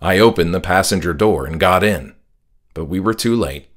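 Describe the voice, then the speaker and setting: Low-pitched man narrating horror fiction. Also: moderate pace. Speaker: author and audiobook narrator. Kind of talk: scripted first-person horror narration.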